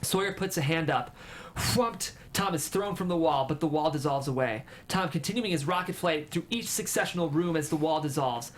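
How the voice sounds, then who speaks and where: A man's voice: on the high side, moderate pace. A man reading stage directions as the narrator of a screenplay table read. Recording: faint room noise.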